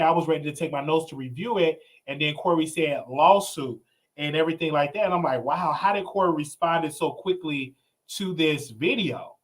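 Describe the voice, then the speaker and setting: A man hosting a live online interview show in a casual, conversational style. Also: fairly high pitch. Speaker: show host.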